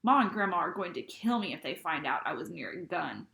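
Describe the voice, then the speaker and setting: A woman reading a first-person novel aloud, with expressive, dramatic delivery. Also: on the low side, a little fast, creaky, reverberant. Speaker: reader.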